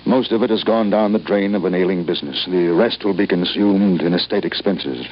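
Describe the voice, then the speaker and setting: A man's voice: low-pitched, a little fast. An actor playing an ailing, embittered old businessman, formal, curt and emphatic in a radio drama. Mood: stern, formal. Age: older. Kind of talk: 1950s radio drama acting. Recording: muffled, noisy old radio recording.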